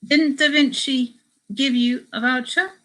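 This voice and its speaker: A woman's voice: sits high, steady tone, unhurried, fairly bright tone, pitched above the performer's own voice, poor audio. A woman voicing an insistent, officious Spanish-speaking male tour agent from a travel memoir. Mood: demanding.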